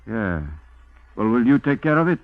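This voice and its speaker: A man's voice: medium pitch, expressive, normal speed, dry recording, natural voice. A hard gangland boss, played by a male radio actor, snapping curt orders and threats with swooping emphasis. He is impatient and menacing, heard on a dull old radio drama recording. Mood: businesslike menace. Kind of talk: 1940s-1950s radio crime acting.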